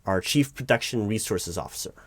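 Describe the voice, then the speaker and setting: A man playing a flustered, deferential recruiting manager in an interview roleplay, halting, stammering and awkwardly polite as he talks. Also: lowish voice, lively intonation, dry recording. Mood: puzzled, hesitant. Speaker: roleplay performer. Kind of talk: spoken audio roleplay, one-sided job interview.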